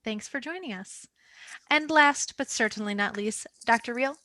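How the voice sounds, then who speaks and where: Bright, upbeat woman hosting a call. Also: fairly high pitch, moderate pace, dry recording. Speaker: moderator. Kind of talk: online roundtable hosting.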